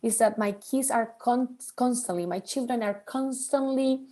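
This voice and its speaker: Earnest Venezuelan-born woman, fairly high voice. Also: normal speed. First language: Spanish. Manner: earnest, animated, acts out her children's voices. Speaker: podcast guest, bilingual parenting expert. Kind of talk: interview conversation.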